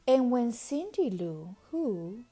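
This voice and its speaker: Woman reading a kids book out loud, really slow and dramatic, highish voice. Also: big pitch swings, slightly rough. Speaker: storybook reader. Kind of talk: expressive children's storybook read-aloud.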